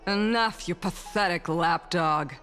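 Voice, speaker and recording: A woman playing a cold, defiant high-ranking noblewoman in a video game, disdainful and biting. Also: a little slow, fairly bright tone, soft background music. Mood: cold contempt.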